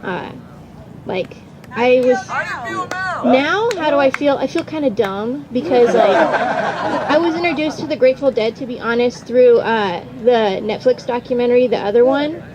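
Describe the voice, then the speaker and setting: A woman's voice: fairly high pitch, animated, normal speed, dull audio, over a noisy crowd. A woman in her twenties, a student panelist, talking in a chatty, informal, hesitant way outdoors. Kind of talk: casual unscripted panel talk. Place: outdoors at a campground. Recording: outdoor recording with clear background noise.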